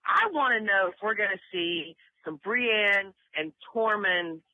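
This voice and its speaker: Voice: mid-range voice, a little slow. A caller leaving a casual, rambling voicemail question for a podcast. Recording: lo-fi phone voicemail.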